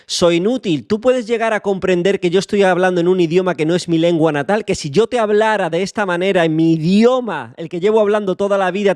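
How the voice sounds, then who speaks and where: A young podcast host from Extremadura speaks in a fairly high voice. He lectures the listener bluntly, swears freely and drags out key words for emphasis. The recording is dry and close, with no room sound. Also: a little mellow, no room sound. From Extremadura, Spain. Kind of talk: motivational monologue.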